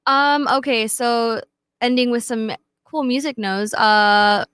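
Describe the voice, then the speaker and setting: Non-native English-speaking woman, news reader on a radio show, slightly high voice, dry studio. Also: steady tone, slow, fairly bright tone, clear, resonant tone, dry recording. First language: not English. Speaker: radio co-host reading the news. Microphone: studio microphone.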